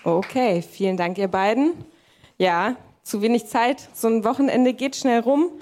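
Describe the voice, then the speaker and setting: A woman's voice: on the high side, unhurried, a little mellow, slight background noise. A woman acting as event host, moderating informally and responding to presenters.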